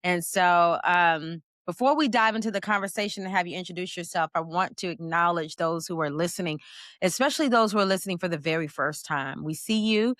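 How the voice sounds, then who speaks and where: A woman from Florida hosting an interview podcast, effusive and upbeat with sweeping swings in pitch, speaking over a video call. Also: fairly quick, a bit bright, no echo, studio quality. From Florida, USA. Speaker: podcast host.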